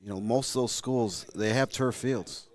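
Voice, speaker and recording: A man with a hoarse, raspy voice giving color commentary on a youth soccer match, measured and explanatory. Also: medium pitch, dry recording.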